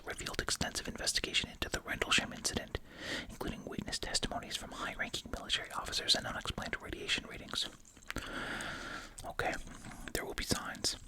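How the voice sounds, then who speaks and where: An ASMR creator speaks entirely in a hushed, breathy whisper. The delivery moves between reading aloud and casual, musing asides, often repeating short phrases. Gum is being chewed throughout the talk. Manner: chewing gum while talking.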